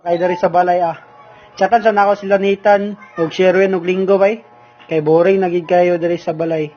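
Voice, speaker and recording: A man's voice: high voice, little pitch movement, brisk, natural voice. A man playing a restless young guy with a Filipino accent, bantering in slang with friends in an amateur radio drama. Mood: eager. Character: Ram, restless young man eager to hang out. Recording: dull lo-fi audio under loud music.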